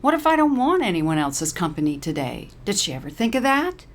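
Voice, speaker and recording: A woman's voice: medium pitch, big pitch swings, fairly quick, a little hiss or hum, pitched above the performer's own voice, natural voice. Woman voicing a surly, sarcastic old lady. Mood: irritated. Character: cranky, salty-tongued old woman in retirement home.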